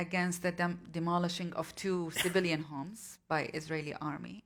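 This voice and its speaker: A woman's voice: on the low side, steady tone, fairly quick, slight background noise. A woman whose native language is Arabic, moderating a panel and asking earnest, probing questions. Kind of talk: panel interview.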